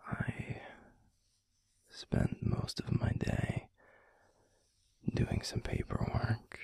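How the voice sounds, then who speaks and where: A man voicing a gentle, protective alpha werewolf boyfriend in an intimate ASMR roleplay, murmuring slowly and haltingly in a breathy, gravelly near-whisper. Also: medium pitch, dry recording, exaggerated voice. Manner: slow, halting, soothing murmur with pauses.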